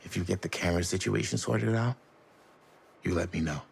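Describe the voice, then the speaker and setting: A low-key man in a cinematic game. His voice is very deep and creaky, confiding and understated, with music underneath. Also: brisk, full character voice. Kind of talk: naturalistic video game acting.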